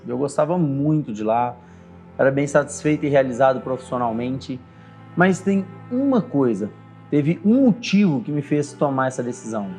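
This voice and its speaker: A man's voice: fairly high pitch, a little mellow. A man in his thirties, a barbershop owner, telling his personal story in an earnest, reflective way. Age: 30s. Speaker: barbershop owner and course creator. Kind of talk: scripted personal story narration. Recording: faint music underneath.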